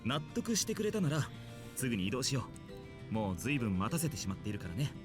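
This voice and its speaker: Man acting fast-talking, easygoing male teacher. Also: medium pitch, big pitch swings, over music, lightly acted. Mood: gently friendly. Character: easygoing, gently teasing adult male schoolteacher. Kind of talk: Japanese visual novel voice acting.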